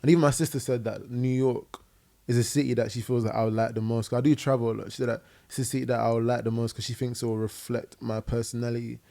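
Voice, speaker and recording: A quick, chatty young man with a London accent talks freely on a podcast, his voice gravelly with a mellow, warm tone. He speaks into a boom mic in a living-room set, and his talk is full of fillers and "innit". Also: level tone. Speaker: guest musician. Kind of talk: casual podcast interview. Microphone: boom mic on arm.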